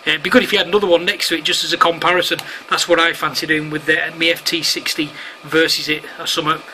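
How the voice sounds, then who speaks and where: A man's voice: on the high side, little pitch movement, quick pace, sharp tone. A man chatting casually over two-way radio in matey slang and radio jargon. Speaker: amateur radio operator filming for online videos. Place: indoors at home, at a radio set. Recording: clearly noisy room recording of radio chat.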